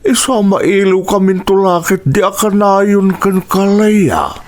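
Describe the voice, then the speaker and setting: A man's voice: high-pitched, animated, measured pace, a little mellow. A man with an Ilocano accent playing an earnest, affectionate father of a grown daughter in a radio drama. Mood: tender, wistful.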